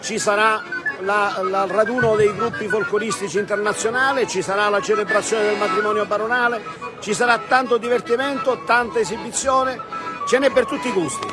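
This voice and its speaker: Loud music plays across an outdoor festival. A Sicilian man with a high-pitched voice gives a live interview as mayor, enthusiastically piling up lists. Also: fairly even intonation, a little fast. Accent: Italian, Sicilian. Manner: enthusiastic, repetitive listing. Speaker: town mayor being interviewed. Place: outdoors in a village square.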